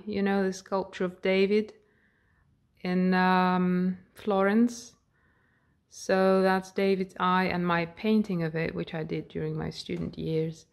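A portrait artist with a British accent walks through her home studio, naming her tools as she films on a phone. Her voice sits a little low and she speaks slowly and calmly. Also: gravelly. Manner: calm, explanatory, pointing things out. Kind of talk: guided studio tour narration. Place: home art studio, indoors.

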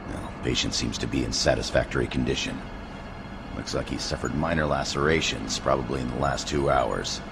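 An actor playing a calm male asylum doctor in a video game, brisk and clinical. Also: a bass voice, creaky, over loud music.

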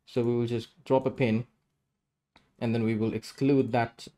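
A man's voice: mid-range voice, level tone, normal speed, mellow. A man in his thirties giving a casual screen-share tutorial, explaining each step as he goes. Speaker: tutorial presenter.

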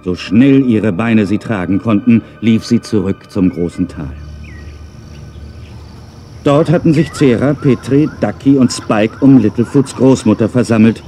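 Low, mellow male story narrator. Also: unhurried, faint music behind. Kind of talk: scripted children's story narration.

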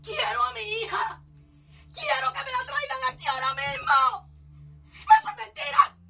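A woman's voice: very high-pitched, very animated, measured pace, creaky, pushed and bright, heavily acted. An actress playing a distraught young mother, crying and screaming hysterically in a radio soap opera. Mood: hysterical grief.

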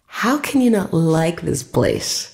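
Lively, eager house-hunting wife. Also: female voice, on the low side, slow pace, vocal fry, slightly rough, soft background music, exaggerated voice. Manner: enthusiastic, coaxing. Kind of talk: naturalistic radio play acting.

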